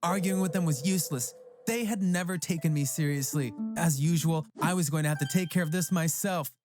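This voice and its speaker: A narrator reading a scripted first-person story for an animated tale. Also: mid-range voice, fairly quick. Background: background music.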